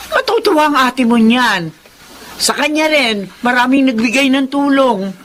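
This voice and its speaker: A woman's voice: sits high, lively intonation, normal speed, fairly bright tone, a little hiss or hum. A woman with a Filipino accent playing a proud, exclaiming middle-aged mother in a radio drama. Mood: delighted.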